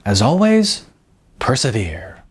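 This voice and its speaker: An encouraging acting coach, performed by a male narrator in a storybook. He is cheerful and emphatic, with a very lively voice that leaps up in pitch. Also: slow pace, husky, exaggerated voice.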